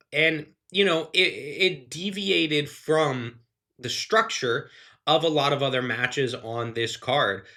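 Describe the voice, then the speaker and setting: A man with a bright, forward voice that sounds slightly phlegmy from allergies. He talks through his thoughts in loose, earnest run-on sentences. His delivery is casual and analytical, like a host giving a spoken review. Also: medium pitch. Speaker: wrestling reviewer, show host.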